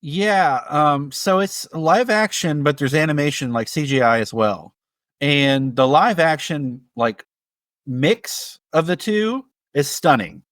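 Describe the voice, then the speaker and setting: A man co-hosting a casual film podcast, speaking in a hesitant, halting but appreciative way. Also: on the high side, lively intonation, a bit bright, no echo, clean studio sound. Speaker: podcast co-host. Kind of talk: casual movie discussion podcast.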